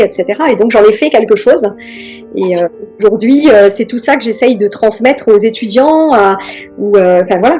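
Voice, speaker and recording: A middle-aged woman from France, speaking as an interview guest in a reflective, hesitant way with many pauses and restarts. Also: medium pitch, mellow.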